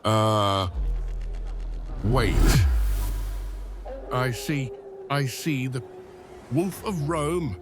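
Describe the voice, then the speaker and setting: A man playing a grand, excitable Roman emperor in a fantasy audio drama, given to flustered stammering. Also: mid-range voice, very slow, long pauses. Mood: awed, urgent. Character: excitable, easily swayed male Roman emperor. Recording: faint music underneath. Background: music.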